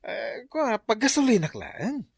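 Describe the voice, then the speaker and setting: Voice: medium pitch, big pitch swings, measured pace, creaky, husky, no room sound, studio quality, exaggerated voice. An actor with an Ilocano accent voicing a hapless, whining, pleading husband in a comedy radio drama. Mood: sheepish.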